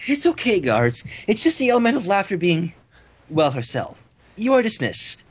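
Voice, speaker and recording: A reader voicing a kindly, dignified female royal pony from a cartoon, polite and measured. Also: medium pitch, big pitch swings, breathy edge. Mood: calm, amused.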